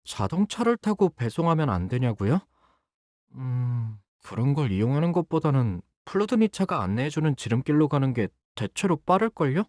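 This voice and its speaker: A man playing an earnest, dutiful young fixer in a video game, polite and soft-spoken but easily flustered. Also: medium pitch, very animated, moderate pace, no echo, studio quality, lightly acted. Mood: weary, busy. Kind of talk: expressive game character voice lines.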